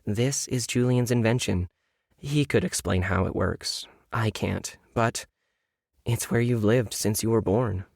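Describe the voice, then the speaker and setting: A man reading as a grave, low-voiced woman doctor. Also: unhurried, gravelly, a little mellow, dry recording, studio quality. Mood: grave, resigned. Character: grave, resigned woman doctor in science fiction. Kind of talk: audiobook character dialogue.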